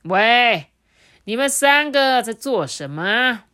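A woman voicing a big male tiger who blusters loudly and then grows puzzled. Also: mid-range voice, lively intonation, measured pace, no room sound, clean studio sound, close to an everyday voice. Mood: blustering. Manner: loud, blustering.

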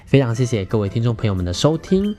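A man's voice: medium pitch, sing-song, fast, dark tone. A man with a Taiwanese Mandarin accent hosting a podcast, speaking colloquially and earnestly to listeners as he shares personal stories and relationship advice. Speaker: podcast host.

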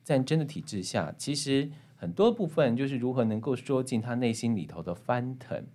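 A man's voice: medium pitch, big pitch swings, normal speed, warm tone. Taiwanese man hosting a reflective interview. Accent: Taiwanese Mandarin. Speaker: podcast host interviewing a guest. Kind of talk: conversational book interview.

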